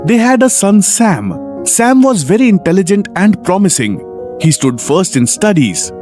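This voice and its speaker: Woman narrating with a lively, varied pitch. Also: unhurried, over music. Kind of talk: scripted moral story narration.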